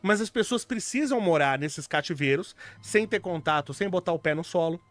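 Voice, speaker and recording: High-voiced guy arguing on a podcast, blunt and explaining, some music behind. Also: expressive, normal speed. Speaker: podcast co-host. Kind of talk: casual podcast chat.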